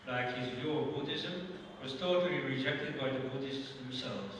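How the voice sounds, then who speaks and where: A man whose first language is Dutch, speaking as a guest scholar answering audience questions, measured and peppered with "you know" and brushing off weak ideas. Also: medium pitch, in a large hall, background noise. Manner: measured, frequent "you know", dismissive asides. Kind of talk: lecture Q&A.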